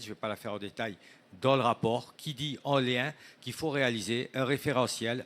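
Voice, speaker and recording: A man gives a semi-prepared panel talk at a conference. His voice sits at a medium pitch. He builds his points through emphatic, repeated lists. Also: a bit bright. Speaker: panel speaker presenting a report. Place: conference room, speaking to an audience.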